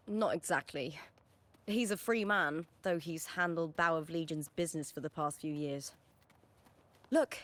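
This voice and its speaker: An actress voicing a proud young gladiator star in a video game, bold and blunt, with a self-assured, commanding manner. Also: female voice, low voice, measured pace, pauses often, a bit bright. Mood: companionable.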